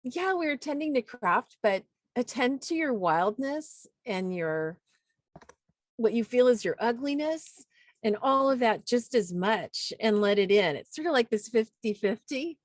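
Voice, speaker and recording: A woman with a slightly high voice talks casually as a poet and teacher on a panel. She tells stories informally, slipping into quoted dialogue, and the sound is close and very dry. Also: expressive, a little slow, dry recording. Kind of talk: casual anecdotal panel talk.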